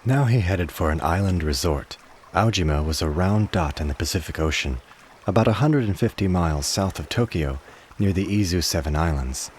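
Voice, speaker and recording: Male narrator, very low pitch, scripted dramatic storytelling, measured, literary, radio play. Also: a little mellow, slight background noise.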